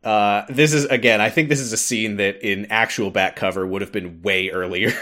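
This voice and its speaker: A man's voice: fairly low pitch, steady tone, moderate pace, pushed and bright. A man hosting a podcast, casually retelling a game story with informal commentary and frequent laughter. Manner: laughs often, informal, mildly sweary. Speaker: podcast host.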